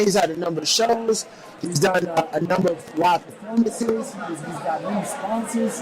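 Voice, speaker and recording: High-voiced man hosting a casual interview. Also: poor audio. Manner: laid-back slang, repeats "man" and "definitely". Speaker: host. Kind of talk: casual hip hop interview. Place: shisha lounge.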